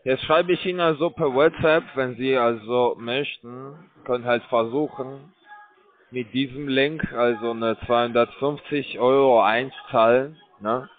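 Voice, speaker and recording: A man working a scam call center pitch, pushing in broken full of fillers. His voice is mid-pitched and comes through a narrow, tinny phone line. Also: fairly even intonation, a little slow. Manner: broken German, filler-heavy, pushy salesman.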